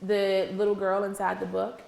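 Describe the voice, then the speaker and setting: A woman's voice: medium pitch, monotone, normal speed, gravelly, a little mellow. A woman teacher leading an interactive read-aloud for young children, encouraging and praising, with simple repeated questions and instructions. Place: indoor classroom with young children. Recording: echoey classroom, faint background noise.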